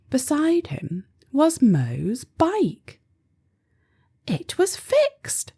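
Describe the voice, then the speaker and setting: A woman storyteller reading a children's picture book aloud in an expressive, deliberate way for young listeners. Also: a little high, husky. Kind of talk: scripted children's audiobook narration.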